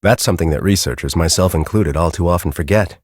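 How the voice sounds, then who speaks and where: An actor playing a grumpy, bossy middle-aged storm-chasing professor in a video game, with an American accent and a brusque, sarcastic, exasperated manner. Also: male voice, low-pitched, fast talker, dry recording, clean studio sound. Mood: bitter, disappointed. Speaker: video game character, research team leader.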